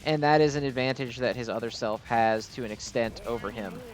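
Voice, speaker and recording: A man's voice: mid-range voice, steady tone, a little fast, faint music behind. Rambling man doing casual gameplay commentary. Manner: rambling, self-interrupting, casually sweary. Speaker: gaming video host.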